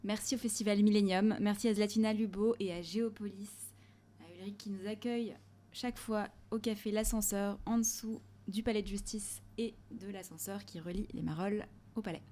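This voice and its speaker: A woman hosting and moderating a panel talk in a café, asking questions in a halting, hesitant way. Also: mid-range voice, fairly even intonation, warm tone. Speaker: host and moderator.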